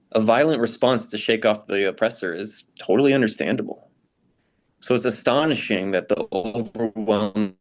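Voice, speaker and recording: A man performing in readers' theater as a sympathetic, reflective American, speaking in a thoughtful, measured, conversational way. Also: lowish voice, close to an everyday voice.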